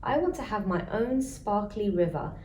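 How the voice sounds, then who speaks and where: A dreamy, excitable small girl, played by a woman reader in a storybook. She speaks with wide-eyed eagerness in an echoey room with faint noise. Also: medium pitch, normal speed, pitched above the performer's own voice, lightly acted. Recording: roomy echo, faint background noise.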